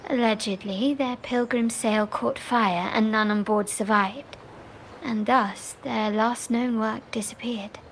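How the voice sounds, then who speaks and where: A woman's voice: on the high side, fairly even intonation, slow pace, a little hiss or hum, rough recording. A woman playing a refined, sociable young lady who speaks politely in a video game. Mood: discreet, explanatory.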